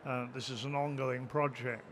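Bright-toned older man panelist. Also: medium pitch, steady tone, moderate pace, a little hiss or hum. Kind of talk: panel discussion. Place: bookfair panel stage with an audience, indoors. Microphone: table microphone.

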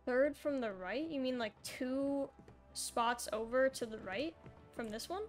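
High-voiced young woman gaming. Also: unhurried, faint music behind. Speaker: gamer playing a co-op puzzle game. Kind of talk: casual gameplay chat.

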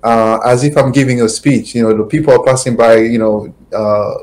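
A middle-aged man from Haiti answering interview questions slowly over a video call, pausing often with fillers. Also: mid-range voice, fairly even intonation, darkish tone. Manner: slow, halting, many fillers. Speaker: guest, attorney. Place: home office, indoors. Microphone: webcam video call.

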